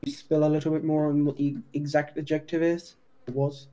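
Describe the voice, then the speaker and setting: A man playing a courteous, persistent police detective in an improvised game roleplay, asking halting questions and rephrasing them. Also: on the high side, level tone, warm tone, close to an everyday voice. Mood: probing. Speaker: role-player in an online game.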